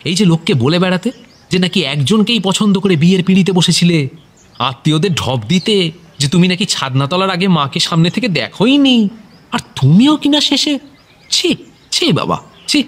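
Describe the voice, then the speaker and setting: Indignant, high-voiced adult son. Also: male voice, lively intonation, moderate pace, a bit bright. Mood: outraged. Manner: scolding, insistent. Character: indignant adult younger son, reproachful and hectoring.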